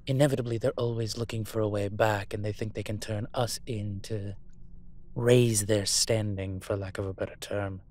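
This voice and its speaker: A man playing a suave incubus demon professor in a roleplay audio drama, measured, wry and teasing. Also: on the low side, fairly even intonation, a little mellow, close to an everyday voice. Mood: measured, scholarly.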